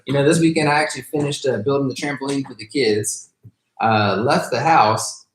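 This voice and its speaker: A man's voice: mid-range voice, normal speed, a little hiss or hum. Joking man hosting in an echoey room. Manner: joking, laughs often. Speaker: podcast host, realtor. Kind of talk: casual chat.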